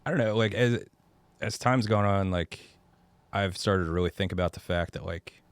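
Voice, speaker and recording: A man in his thirties from the Dallas suburbs with a low voice, a singer-songwriter guest chatting easily and poking fun at himself. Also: normal speed, clean tone, dry recording. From suburbs of Dallas, Texas. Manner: easygoing, self-deprecating, many fillers. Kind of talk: casual conversation.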